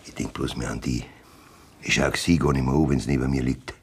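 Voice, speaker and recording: Herrischer bayerischer Bauer, tiefe knarrende Stimme. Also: male voice, rumbling low, steady tone, a little fast, slightly rough, exaggerated voice. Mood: urgent, lustful. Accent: Bavarian. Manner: blunt, coarse, scornful. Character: domineering, lecherous farmer, callous husband. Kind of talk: German radio play acting in dialect.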